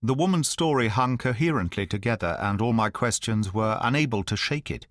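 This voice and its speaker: A man narrating an audiobook in the first person, at a measured, even storytelling pace. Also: mid-range voice, no room sound, clean studio sound.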